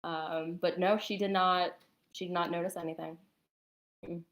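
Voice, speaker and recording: A woman telling a personal story in a casual, conversational and somewhat halting way. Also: on the low side, little pitch movement.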